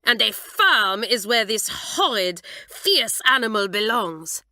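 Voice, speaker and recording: A woman's voice: very animated, sharp tone, no echo, exaggerated voice. A woman narrator voicing a flustered, indignant schoolmistress. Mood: shrill, indignant. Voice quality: shrill. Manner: flustered, indignant, slow emphatic delivery. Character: shrill, agitated French schoolmistress, middle-aged woman.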